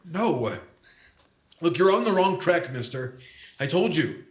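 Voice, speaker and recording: A man's voice: a little high, sing-song, slow pace. A man acting in an old-time radio thriller as a wary, stubborn working-class plumber, plain-spoken, slangy and defiant. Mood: stubborn, sceptical. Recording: echoey, roomy voice.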